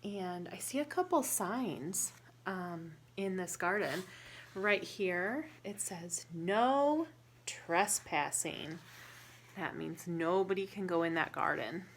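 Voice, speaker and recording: Woman, unhurried teacher-style read-aloud, instructive, questions for young listeners, faint background noise. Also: fairly low pitch, lively intonation. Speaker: teacher reading a picture book aloud to young children.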